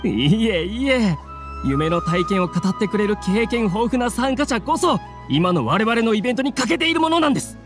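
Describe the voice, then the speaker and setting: A man's voice: high-pitched, very animated, moderate pace, music in the background. An actor playing a courteous, eager young man who organizes events in an anime game, speaking formally and earnestly. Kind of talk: Japanese anime game acting.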